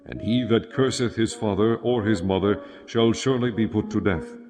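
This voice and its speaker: A man narrating scripture as a solemn, scripted dramatic reading in measured phrases. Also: fairly low pitch. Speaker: audio Bible narrator. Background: music.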